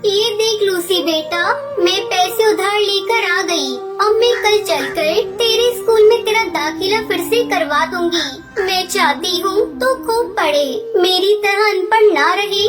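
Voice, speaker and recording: Cartoon mother bird: extremely high voice, plucky, caring, earnest, loud music underneath. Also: measured pace. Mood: tender. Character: small, plucky, anxious mother songbird, caring and clever. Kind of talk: children's cartoon voice acting.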